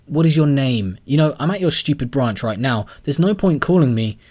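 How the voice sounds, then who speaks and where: A man voicing an irritable customer who snaps and complains loudly. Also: lowish voice, moderate pace, husky. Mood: exasperated. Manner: exasperated, loud, curt. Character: irritable young man, fed up with the bank.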